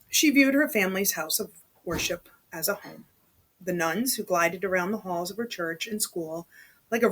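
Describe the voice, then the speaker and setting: A woman's voice: mid-range voice, fairly bright tone, rough recording. A woman reading a novel aloud as a storytelling host, chatty, dropping into casual muttered asides. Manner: chatty, breaks off to comment in undertones.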